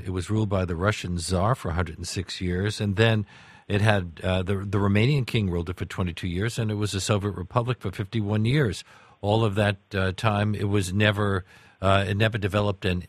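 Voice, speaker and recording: A man's voice: on the low side, no room sound. A man hosting a radio interview, putting questions and follow-up remarks in an inquisitive, conversational way.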